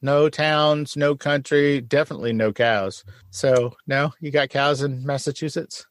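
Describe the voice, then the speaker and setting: A man's voice: medium pitch, unhurried, no echo, studio quality. A man from Texas hosting a casual podcast interview, jokey and self-deprecating. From San Antonio, Texas. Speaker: podcast host.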